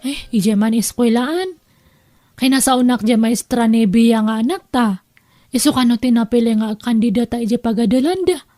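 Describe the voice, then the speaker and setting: A woman's voice: a little high, moderate pace, dark tone, no room sound, studio quality, faint music behind, close to an everyday voice. A woman with a Filipino accent playing a struggling, patient wife and mother in an audio drama. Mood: earnest, patient. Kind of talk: Ilocano audio drama acting.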